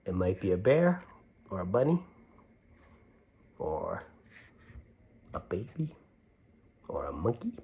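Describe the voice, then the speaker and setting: A man reading a children's picture book aloud, gently, with chatty asides as if talking to young children. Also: deep voice, wildly swooping pitch, slow, pauses often, slightly rough. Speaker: storybook reader.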